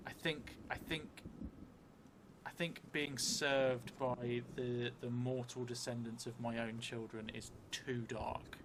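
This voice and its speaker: A middle-aged man improvising narration for a solo tabletop game, thinking aloud thoughtfully in halting, short phrases. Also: mid-range voice, little pitch movement, noisy background. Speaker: solo role-playing streamer. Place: indoor room set up for streaming, seated at a table. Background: music.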